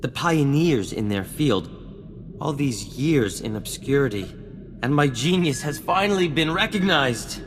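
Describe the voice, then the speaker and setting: Flamboyant, vain scientist played by a man, swooping pitch. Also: animated, measured pace, long reverb tail, close to an everyday voice. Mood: proud, grand. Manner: grandiose, florid, courtly phrasing. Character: flamboyant, vain, charming male scientist and self-styled genius. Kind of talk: scripted video game acting. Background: music.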